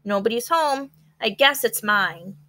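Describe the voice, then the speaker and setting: A woman voicing a lively, excited young girl in a children's picture book read-aloud. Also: a little high, measured pace. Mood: curious. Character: Kayla, lively young girl exploring the beach.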